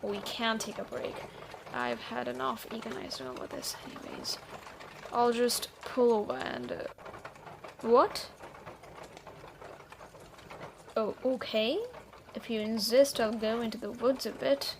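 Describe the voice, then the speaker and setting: A woman playing a guarded, troubled thief in a fantasy audio drama, speaking haltingly and reluctantly softening. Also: fairly high pitch, expressive, creaky, slight background noise. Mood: exasperated, wary. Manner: hesitant, sighing, halting delivery. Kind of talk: scripted roleplay audio drama.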